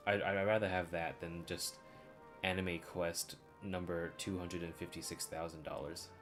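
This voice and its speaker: A man's voice: deep voice, flat delivery, moderate pace. A man streaming a game with casual, informal commentary, thinking aloud in hesitant bits. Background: game music.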